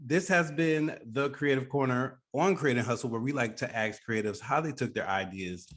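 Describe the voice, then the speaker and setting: A man's voice: mid-range voice, normal speed, fairly bright tone. A man hosting a podcast, interviewing his guest in a casual, conversational style. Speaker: podcast host.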